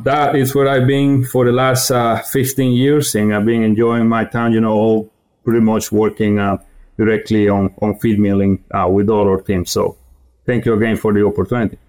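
A middle-aged man from Guatemala, answering interview questions as a guest in a halting way with many fillers and restarts. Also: on the low side, flat delivery, darkish tone. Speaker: podcast guest, feed industry director.

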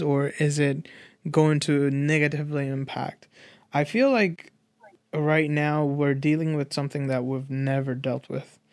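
Man in his twenties, slightly high-pitched voice. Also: gravelly, dry recording, studio quality. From Nepal. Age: 20s. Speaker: interview guest. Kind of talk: casual conversational opinion.

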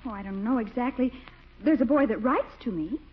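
Actress as high-voiced sweet young woman, old radio. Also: fairly quick, close to an everyday voice. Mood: earnest, demure. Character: sweet, independent young woman, keen bird watcher. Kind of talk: 1940s radio comedy acting. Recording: muffled, noisy vintage radio recording.

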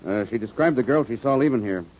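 A man's voice: mid-range voice, animated, fast talker, lightly acted. An actor playing a matter-of-fact police detective who reports plainly to his superior in an old-time radio drama. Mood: businesslike. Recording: muffled, noisy old-time radio recording.

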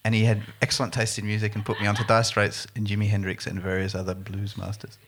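Lowish man, laid-back musician. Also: monotone, fast, fairly bright tone, slight background noise. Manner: self-deprecating, laughing, restarts. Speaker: musician guest. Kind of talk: casual chat.

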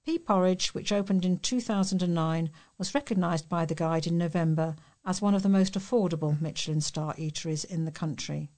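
A woman's voice: on the low side, a little fast, hardly any pauses, a little mellow. A woman reading local news articles aloud as a volunteer reader.

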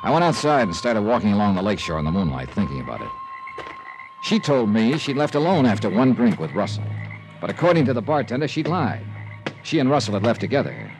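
A man's voice: fairly low pitch, big pitch swings, fast talker, rough recording. An actor playing a hard-boiled insurance investigator who narrates his own case in a 1950s radio drama, dry and wry. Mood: brooding narration. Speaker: lead and narrator. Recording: old radio broadcast with music beneath.

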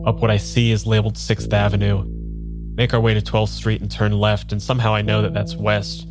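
A man's voice: lowish voice, monotone, over music, close to an everyday voice. A man playing a bewildered, earnest amnesiac in an improvised audio drama, speaking in an unsure, conversational way. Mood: reflective narration. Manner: halting, unsure, rambling. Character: bewildered, earnest man without his memory. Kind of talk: improvised audio drama dialogue and first-person narration.

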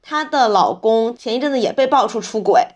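A woman with a fairly high voice hosts a casual podcast. She explains things conversationally, like telling a story to her listeners. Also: animated, a little fast. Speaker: podcast host.

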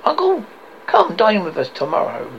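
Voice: medium pitch, huge pitch swings, moderate pace, vocal fry, voice pushed up. A reader voicing a cheerful, good-hearted young nephew with an earnest, pleading manner, as in a storybook. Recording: dull audio with faint noise.

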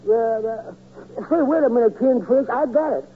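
An actor playing a nervous, simple barber who stammers and keeps abandoning phrases, in a 1940s radio comedy. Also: male voice, very high voice, normal speed, breathy edge. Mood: eager, flustered. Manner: severe comic stammer, restarts and abandons phrases. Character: nervous, tongue-tied male barber, eager and simple. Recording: thin, narrow-band old radio recording.

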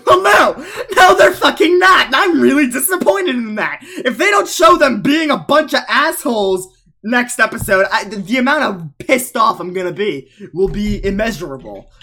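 High, bright-voiced young man ranting. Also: very animated, normal speed, vocal fry. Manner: rambling, swearing, mocking impressions. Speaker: podcast co-host. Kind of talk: casual recap chat.

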